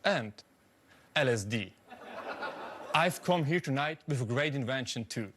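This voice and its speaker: A man's voice: mid-range voice, expressive, unhurried. A man from Switzerland, doing dry, tongue-in-cheek stage patter as a magician performing for judges. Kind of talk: scripted comic stage patter. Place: TV studio stage with live audience. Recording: studio stage with audience and music.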